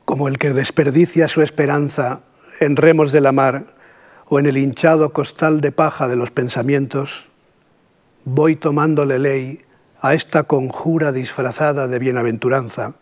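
Middle-aged Catalan poet, mid-pitched, lecturing slowly, pauses often, asks rhetorical questions. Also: male voice, fairly even intonation. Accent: Catalan Spanish. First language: Spanish. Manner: measured, reflective, with frequent pauses and rhetorical "¿no?". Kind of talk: lecture with poetry reading.

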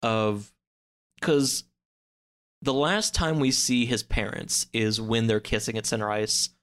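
A man in a casual podcast chat, recapping a story in a halting, rambling way full of filler words. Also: medium pitch, fairly even intonation. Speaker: podcast guest.

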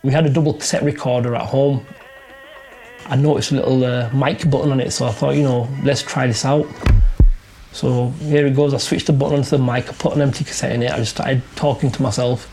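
A man's voice: mid-range voice, fairly even intonation, brisk, mellow, faint music behind. A middle-aged British man telling a personal story in an informal, colloquial, conversational way. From UK, Pakistani family. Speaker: interviewee.

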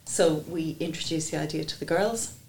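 A woman in her fifties from Jersey explaining plans in a measured way, recorded in an echoey room with faint noise. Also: low voice, darkish tone. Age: 50s. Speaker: guest.

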